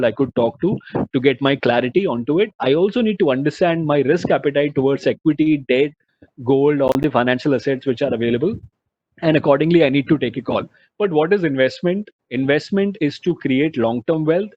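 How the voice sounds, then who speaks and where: A man giving an earnest, explanatory webinar talk, often pausing on "you know" and "uh". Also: mid-range voice, no echo, highs cut off. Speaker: webinar presenter on mutual fund investing.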